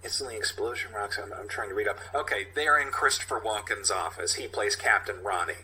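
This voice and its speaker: A man's voice: lowish voice, a little fast, bright, forceful tone, slight background noise, low-quality recording. A man hosting a podcast, chatting casually and reading out a comic script. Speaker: podcast host.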